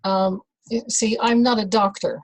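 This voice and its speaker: A woman from the Netherlands, a doula, talking as an interview guest in a slow, hesitant way with many fillers and restarts. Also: medium pitch, steady tone, no room sound. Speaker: guest, birth and rebirth doula. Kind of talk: casual conversational interview.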